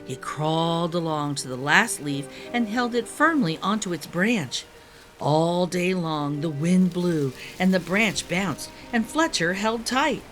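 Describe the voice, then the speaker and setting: A woman narrating a children's picture book in an expressive, careful storytelling manner. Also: on the low side, slow, faint music behind, low-quality recording. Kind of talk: expressive storybook read-aloud.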